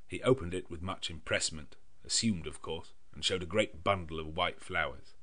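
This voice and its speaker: A man reading a gothic novel as an audiobook narrator, in a measured, literary manner. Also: low-pitched, pushed and bright. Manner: measured, literary, diary-like delivery.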